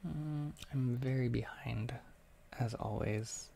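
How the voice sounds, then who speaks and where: A young adult with an American accent chats casually on a livestream, reading and answering comments in a soft-spoken, hesitant, rambling way. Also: medium pitch, slow, creaky, a little hiss or hum. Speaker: ASMR audio creator livestreaming.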